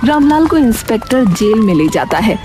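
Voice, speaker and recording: A woman's voice: on the high side, sing-song, moderate pace, background noise. A woman reading scripted narration for a cartoon story.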